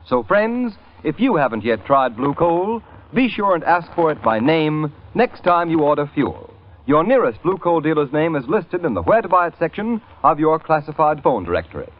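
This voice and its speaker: A man's voice: on the high side, big pitch swings, normal speed, dull audio, a little hiss or hum. A man delivering a polished, salesmanlike commercial as a vintage radio announcer. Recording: thin, band-limited old radio recording.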